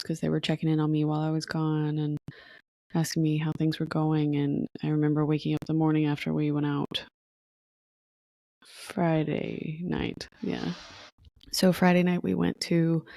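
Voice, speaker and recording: A tired, hoarse woman hosting her podcast, chatting casually and rambling with fillers and restarts. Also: low voice, mellow, dry recording. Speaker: podcast host. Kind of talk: casual solo podcast chat.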